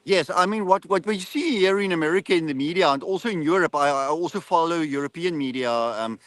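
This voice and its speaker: A man's voice: on the high side, brisk, fairly bright tone. A man with a South African accent and Afrikaans as his first language, speaking as an interview guest in an earnest, explanatory way. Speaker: interview guest, political commentator. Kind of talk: conversational political interview.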